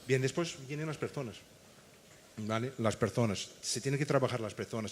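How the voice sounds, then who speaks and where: A man's voice: mid-range voice, a little hiss or hum. A man from Portugal speaking with a Portuguese accent as a conference panelist, emphatic and checking in with his listeners again and again. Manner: emphatic, keeps adding "¿vale?". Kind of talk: panel discussion. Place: conference stage.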